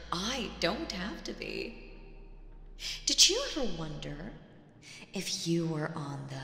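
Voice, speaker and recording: Slow, echoing demon queen, acted by a woman. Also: sits low, sharp tone, full character voice. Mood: solemn, vengeful, then proud, challenging. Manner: slow, theatrical, condescending, with dramatic pauses. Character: proud, mocking female demon queen, vengeful, regal villain. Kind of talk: ASMR audio roleplay. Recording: roomy with long reverb.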